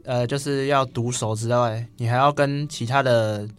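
A teenage male student with a Taiwanese Mandarin accent, answering interview questions casually and a bit haltingly. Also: mid-range voice, steady tone, smooth. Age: teens. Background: soft guitar music.

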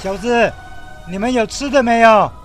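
An actor playing the risen Jesus as a calm, commanding divine teacher in a solemn biblical radio drama. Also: male voice, extremely high pitch, normal speed, faint music behind.

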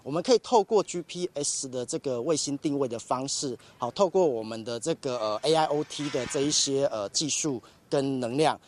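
A man's voice: medium pitch, normal speed, few pauses, a little mellow, a little hiss or hum. A man with a Taiwanese Mandarin accent, explaining something off the cuff as an academic interviewee. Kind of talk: spontaneous explanation.

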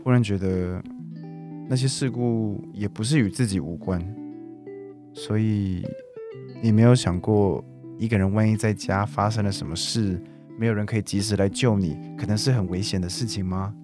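A man in his forties played by a young male actor speaks in a low voice over plucked guitar. He is a teasing, persuasive and earnest suitor in a radio drama. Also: fairly even intonation, moderate pace, close to an everyday voice. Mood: earnest, thoughtful.

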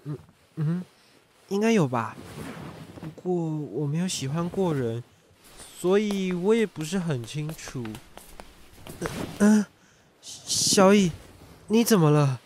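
男声优演的天真少年少爷，音调很高. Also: male voice, on the high side, animated, slow pace, long pauses, darkish tone, a little hiss or hum. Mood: curious, innocent. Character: naive, oblivious, boyish young master, affectionate and easygoing. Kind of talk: Chinese audio drama acting.

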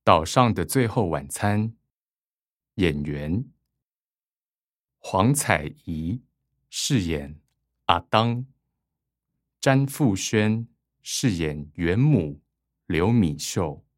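Very deep, slow male narrator. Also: expressive, dry recording, clean studio sound. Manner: measured, dramatic. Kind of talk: scripted radio drama narration. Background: music.

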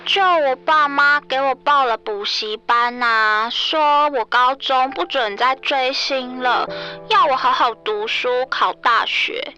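A high, bright voice at an unhurried pace, a woman playing a teenage schoolgirl in an audio drama. She sounds confiding and a little whiny, the obedient sort, with light music behind her. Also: lo-fi sound, full character voice. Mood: resigned. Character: obedient teenage schoolgirl under a strict father. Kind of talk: Chinese audio drama acting.

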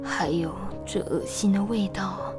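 A woman's voice: medium pitch, unhurried, over loud music, natural voice. A woman acting an anxious, melancholy young wife shut away in a room, in an audio drama. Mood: uneasy, dizzy.

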